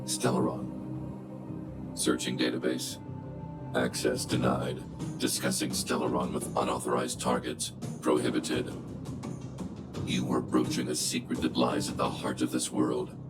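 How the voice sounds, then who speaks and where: Game robot guardian: bottomless bass, very flat intonation, formal, logical and unyielding, music behind. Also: male voice, unhurried. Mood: stern, guarded. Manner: formal, clipped machine-like delivery. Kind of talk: video game cutscene acting.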